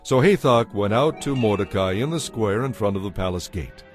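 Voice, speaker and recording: A man's voice: fairly low pitch, animated, moderate pace. A man narrating scripture as a scripted, dramatized story, with a solemn storytelling delivery. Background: music.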